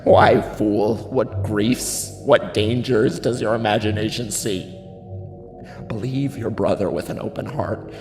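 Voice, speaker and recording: A man's voice: lowish voice, takes it slowly, creaky. An actor playing a grief-stricken, wavering drunken nobleman, lamenting theatrically in a classical tragedy. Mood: uneasy foreboding. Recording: long hall reverb, music underneath.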